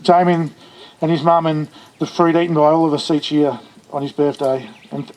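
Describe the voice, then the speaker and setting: A high, flat-toned man speaks at a funeral for his childhood friend. His delivery is earnest and halting, with frequent restarts. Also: rough recording. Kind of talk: funeral eulogy.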